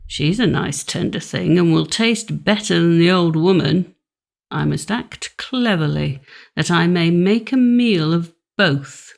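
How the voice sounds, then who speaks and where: A woman's voice: lowish voice, unhurried, vocal fry. A woman voicing a sly, hungry male wolf in a storybook, coaxing and cunning. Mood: sly, scheming.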